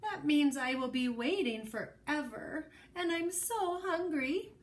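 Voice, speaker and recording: A woman voicing a hungry, eager, impatient little storybook boy in an excitable, singsong way. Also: sits high, slow pace, a little hiss or hum, pitched above the performer's own voice. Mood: whiny, impatient.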